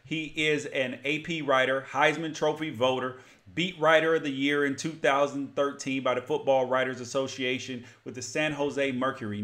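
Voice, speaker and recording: An American man hosting a sports podcast, arguing his opinions emphatically in lively debate with a guest. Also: mid-range voice.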